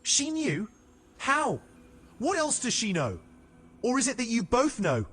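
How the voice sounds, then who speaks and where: An actor playing a brash, sharp-tongued assassin in a fantasy audio drama, sarcastic and defiant. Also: male voice, very high-pitched, wildly swooping pitch, a little slow, a little hiss or hum, exaggerated voice. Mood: wary, questioning. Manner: sarcastic, indignant.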